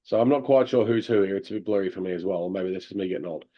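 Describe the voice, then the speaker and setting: A man coaching amateur hockey players over a call, talking through game footage in an animated way and laughing often. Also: low-pitched, very fast, highs cut off. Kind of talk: video review of game footage. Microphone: video call.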